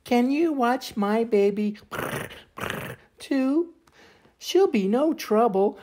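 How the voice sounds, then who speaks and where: An older man voicing a friendly mother cat in a storybook, with a drawn-out, coaxing manner. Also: very high voice, lively intonation, mellow, pitched above the performer's own voice, full character voice. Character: friendly mother cat neighbor asking a favor.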